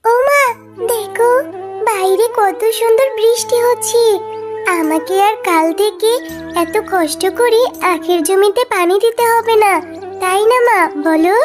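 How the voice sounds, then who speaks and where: A woman's voice: extremely high pitch, measured pace, music in the background. A woman voicing an eager, clever young fox daughter with a chirpy childlike delivery in a children's cartoon. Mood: eager, affectionate.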